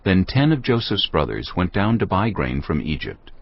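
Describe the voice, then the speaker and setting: A man's voice: deep voice, big pitch swings, normal speed. A man giving scripted narration for a dramatized scripture recording. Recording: dull audio with clear music.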